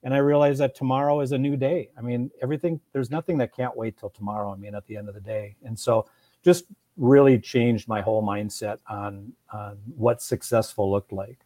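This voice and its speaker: A man's voice: medium pitch, steady tone, a little fast, mellow, no room sound. Man with a dry, close sound. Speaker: podcast guest, marriage coach. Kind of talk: casual interview conversation.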